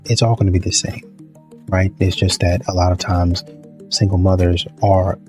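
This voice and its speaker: A man giving casual, opinionated commentary in a halting way, often repeating himself. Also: low-pitched, fairly even intonation, a little mellow, faint music behind. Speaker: reaction show host.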